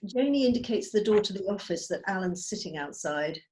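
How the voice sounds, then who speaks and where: A woman's voice: medium pitch, lively intonation, fairly quick, darkish tone. A woman narrating the stage directions of a script in a table read over a video call.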